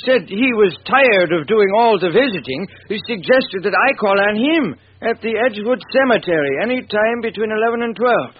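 Muffled old radio drama, rich superstitious chemist guy, formal and huffy, high swooping voice. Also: lively intonation, moderate pace, few pauses, breathy edge. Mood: grave, uneasy. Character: wealthy, superstitious, imperious male chemist client. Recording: muffled, noisy vintage radio recording.

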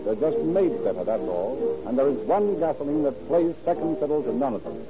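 A man announcing on 1930s radio in a polished, formal, scripted style that suits sponsor pitches and narration. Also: lively intonation, fairly quick, over loud music. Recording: muffled old 1930s radio broadcast recording.

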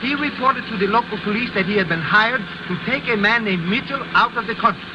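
An actor playing a fussy, plaintive foreign reporter in a 1950s radio drama, with formal phrasing. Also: male voice, sits high, a little fast, lightly acted. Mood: earnest. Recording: noisy vintage radio recording.

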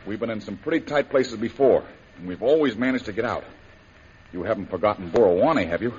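Male actor as reassuring adventurer, lowish voice, steady plain delivery, old radio drama, dull sound. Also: a little fast, natural voice. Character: Jungle Jim, confident reassuring adventurer hero. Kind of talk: 1930s radio serial acting. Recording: muffled old radio recording with hiss.

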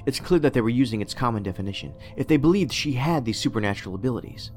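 An actor playing a pedantic, socially awkward federal investigator in a science fiction audio drama, speaking precisely and formally with a detached, musing manner. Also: male voice, mid-range voice, lively intonation, quick pace, darkish tone, lightly acted. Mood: detached, analytical. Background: music under the voice.

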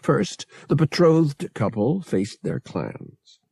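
Slow, gravelly male audiobook narrator. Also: on the high side, dry recording, studio quality. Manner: measured, expressive storytelling. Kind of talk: scripted narration of a science fiction novel.

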